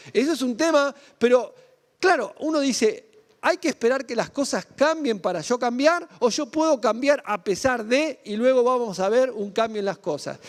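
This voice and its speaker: A man's voice: very high-pitched, big-room sound. A man from Argentina with a Rioplatense accent, preaching a sermon in a conversational way, full of rhetorical questions and tag questions. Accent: Argentine Spanish (Rioplatense). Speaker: pastor.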